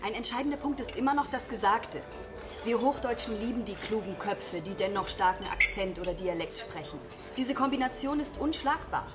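A woman's voice: fairly high pitch, lively intonation, fairly quick, loud music behind the voice, close to an everyday voice. A woman playing an earnest, opinionated friend in a radio drama, holding forth articulately in conversation. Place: restaurant scene with sizzling and music behind.

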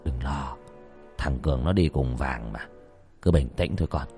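A man voicing an older master, steady, stern and protective, in a horror story. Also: sits low, fast, faint music behind. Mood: calm reassurance.

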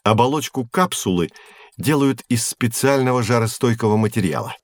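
Guy acting as a patient science teacher, mid-pitched, measured explaining, kids audio play, very dry recording. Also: dry recording, close to an everyday voice. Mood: instructive. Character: patient, learned adult science mentor Vladimir Sergeyevich. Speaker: teacher figure. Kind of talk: scripted educational audio drama.

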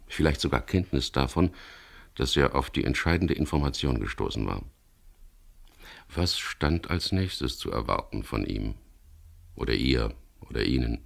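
A man's voice: a bass voice, vocal fry, full character voice. An actor playing a wry, uneasy adventurer who narrates his own story in a radio crime play, dry and reflective. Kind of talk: first-person radio thriller narration.

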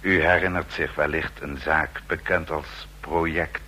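Brief: a watchful house servant, formal and unhurried, deep voice with a tense edge. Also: male voice, steady tone, sharp tone, slightly rough, heavily acted. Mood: formal, polite. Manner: formal, polite, deliberate. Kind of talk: vintage radio drama acting.

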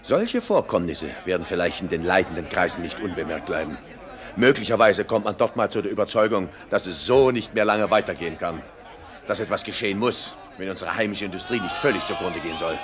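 Radio play: irascible bourgeois industrialist, deep voice, formal diction, short temper, faint crowd behind. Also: male voice, animated, fairly quick. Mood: measured, aggrieved. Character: irascible, self-important male factory owner. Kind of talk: theatrical German radio play acting.

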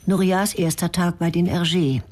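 A woman narrating a radio drama in a measured, matter-of-fact way. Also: lowish voice, steady tone, darkish tone. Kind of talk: scripted narration.

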